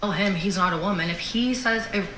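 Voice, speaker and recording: A woman being interviewed answers hesitantly and hedges her words. Her voice is bright and carries a roomy echo. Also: mid-range voice, moderate pace, a little hiss or hum. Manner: hesitant, hedging.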